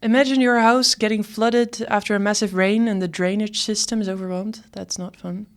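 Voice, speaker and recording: A woman's voice: medium pitch, fairly even intonation, normal speed. A woman in her twenties presenting a student podcast from a script. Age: 20s.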